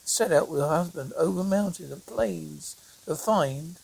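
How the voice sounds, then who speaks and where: A reader narrating a folk tale aloud in a halting amateur way, stumbling over words, with a husky, creaky voice. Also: medium pitch, darkish tone, low-quality recording. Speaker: storyteller reading a fairy tale.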